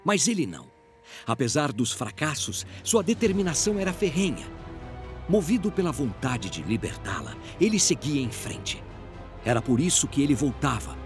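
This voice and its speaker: A male narrator with a Brazilian accent reads a dramatic story. His slightly high voice moves expressively up and down, with music clearly behind it.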